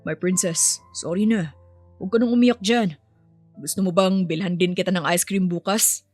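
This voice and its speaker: Casting: woman reading as an affectionate, easygoing young father in a story, gently coaxing, faint music underneath. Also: lowish voice, steady tone, moderate pace, close to an everyday voice. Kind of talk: audio story dialogue.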